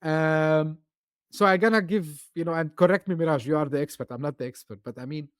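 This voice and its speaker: A male podcast host who is not a native English speaker chats loosely and keeps dropping in "you know," his voice sitting a bit high. The sound is close and dry, with no room around it. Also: a little fast, clear, resonant tone, dry recording. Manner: talkative, loose phrasing full of "you know". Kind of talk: casual interview chat.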